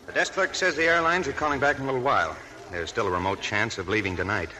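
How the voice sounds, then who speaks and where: An actor playing a young army lieutenant, gentle and hesitant, in 1940s radio drama style. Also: male voice, mid-range voice, fast talker, rough recording. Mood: cheerful. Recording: old radio broadcast with music.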